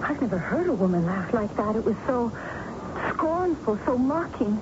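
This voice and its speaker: A woman's voice: a little high, sing-song, moderate pace. An actress playing a sensitive, haunted young woman in a 1970s radio drama, speaking with earnest, dramatic deliberation. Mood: haunted, horrified. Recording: dull, noisy old radio tape.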